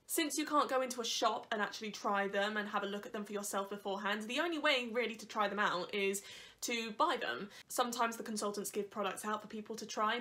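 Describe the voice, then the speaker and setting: A woman's voice: medium pitch, bright, forceful tone. A young woman with a congested nose from hay fever, chatting candidly to her camera at home. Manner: chatty, candid, laughs between points. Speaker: YouTuber. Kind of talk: casual vlog chat. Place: living room at home. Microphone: camera on a desk in front of her.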